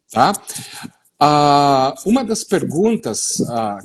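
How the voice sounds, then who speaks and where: A man's voice: on the high side, a little mellow, slightly rough. A middle-aged man from western Paraná with a gaúcho-tinged southern Brazilian accent, explaining soil practice in a live stream over a video call, rambling and restarting as he goes. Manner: digressive, explanatory, with many fillers and restarts.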